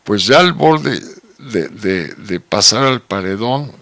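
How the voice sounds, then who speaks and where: Middle-aged Mexican man, lowish forceful voice, casual anecdotal radio guest, close dry sound. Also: lively intonation, slow pace, dry recording.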